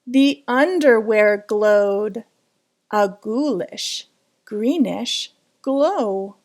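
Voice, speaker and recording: Woman doing a kids read-aloud, slowish and suspenseful, highish voice, stretches words out. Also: darkish tone. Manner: dramatic, suspenseful delivery with drawn-out words. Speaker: storybook reader. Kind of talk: children's picture book read-aloud.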